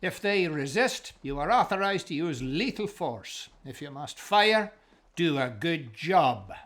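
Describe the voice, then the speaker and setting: An actor playing a brash, authoritative 1930s American police officer in a radio drama, with a brisk manner. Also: male voice, fairly high pitch, very animated, a bit bright. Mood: commanding, gruff.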